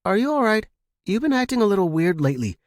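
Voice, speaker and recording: A man's voice: high voice, sing-song, fast talker, dry recording, studio quality, voice pushed up. A man voicing a concerned female office boss in audio fiction, questioning and placating. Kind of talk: audio fiction character dialogue.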